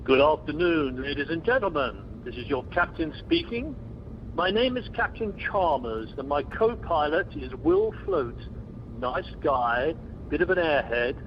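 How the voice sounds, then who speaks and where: A man's voice: on the high side, animated, a little slow. A man playing a breezy, self-important and bumbling airline captain in an amateur comedy radio play. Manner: pompous, bumbling announcer patter. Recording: muffled conference-call line with noise.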